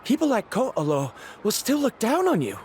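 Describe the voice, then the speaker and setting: A man's voice: high voice, wildly swooping pitch, moderate pace, a little mellow, a little hiss or hum. An actor playing a curious male researcher who questions others in game dialogue. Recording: game audio with faint music.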